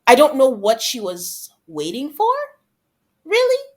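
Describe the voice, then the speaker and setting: A woman's voice: high-pitched, wildly swooping pitch, unhurried, a little mellow. A woman co-hosting a podcast, chatting casually about reality TV and acting out imagined quotes as she talks. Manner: conversational, acts out imagined quotes. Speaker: podcast co-host.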